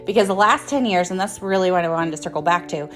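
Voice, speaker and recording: A woman's voice: lowish voice, fast. A woman in her thirties telling personal stories casually and candidly, rambling and swearing freely as she speaks as a host. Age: 30s. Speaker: host, author and motivational speaker. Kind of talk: casual personal storytelling monologue. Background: music.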